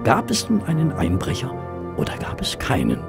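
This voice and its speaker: A thoughtful detective's companion telling the story, radio drama, dramatic slow delivery, really swoopy pitch, bit of fry. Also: male voice, wildly swooping pitch, over music. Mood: reflective, wry. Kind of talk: German radio play acting and scripted narration.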